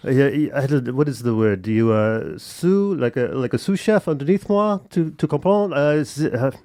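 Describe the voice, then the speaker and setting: A man's voice: medium pitch, lively intonation, normal speed, warm tone, no echo, studio quality, natural voice. Man playing a pompous, haughty Frenchman. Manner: pompous, indignant, searching for English words. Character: haughty Frenchman proudly disdaining America, fussy and snobbish. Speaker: guest debater on a comedy show. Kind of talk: comic debate, halting improvised speech.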